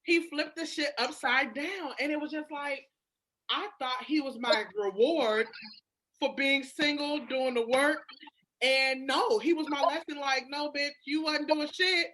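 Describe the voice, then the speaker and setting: A woman talking candidly and casually about herself, expressive and emphatic, with frequent swearing. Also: high voice, unhurried, noisy background. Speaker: podcast guest or co-host. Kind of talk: candid casual personal storytelling.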